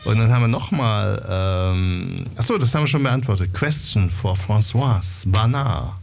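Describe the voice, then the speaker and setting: A man's voice: fairly low pitch, animated, moderate pace, vocal fry, a little mellow. A male host leading a live interview with a band, chatty and laughing often.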